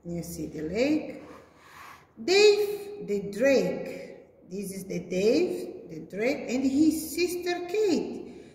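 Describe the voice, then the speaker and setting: A woman's voice: fairly high pitch, a little mellow. A woman preschool teacher reading a story aloud to small children in simple, repeated phrases with exaggerated sing-song emphasis. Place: indoor classroom. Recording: echoey room with long reverb.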